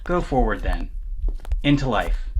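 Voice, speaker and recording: An actor playing a kind, lonely, formal gatekeeper, hesitant and courteous, in an audio drama. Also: male voice, mid-range voice, very animated, a little slow, a little hiss or hum, rough recording. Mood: tender. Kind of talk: dramatic audio play acting.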